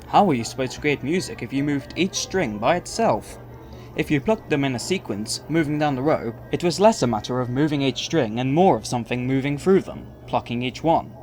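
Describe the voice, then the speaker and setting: A man's voice: mid-range voice, expressive, brisk, darkish tone, faint music behind. A man reading scripted story narration as the narrator of an audio drama.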